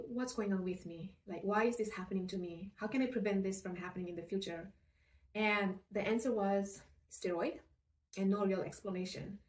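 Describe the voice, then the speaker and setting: A middle-aged woman with a Nepali accent shares a personal account in an earnest, measured way. Her pitch is average for a woman. She sounds set back from the microphone in a roomy, echoing space. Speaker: nurse practitioner and functional medicine provider. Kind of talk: personal story told to camera. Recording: roomy echo, distant microphone.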